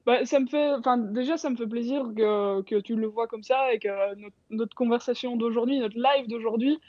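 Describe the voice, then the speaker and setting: A woman's voice: on the high side, moderate pace, dark tone, no room sound. A woman in her thirties from Belgium, a racing driver chatting candidly and informally as a guest on a live video call. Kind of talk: casual live chat. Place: small room at home. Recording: muffled video-call audio.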